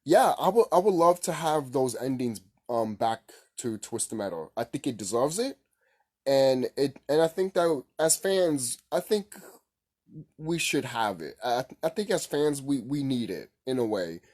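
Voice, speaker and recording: Male gaming vlogger, mid-pitched voice, casual and informal, circles back over his points in a loose, unscripted way. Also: sing-song, unhurried, a little mellow. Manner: informal, repetitive, mild swearing. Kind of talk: casual opinion vlog, rambling.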